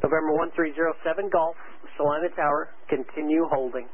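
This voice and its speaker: Fast, clipped male air traffic controller on radio. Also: on the high side, fairly even intonation. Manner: brisk, clipped, directive. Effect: aviation radio. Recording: tinny, narrowband aviation radio with static.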